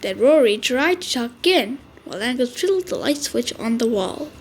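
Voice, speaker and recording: A woman reading a children's picture book aloud, clear and expressive. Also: on the high side, normal speed, faint music behind. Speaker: children's storyteller.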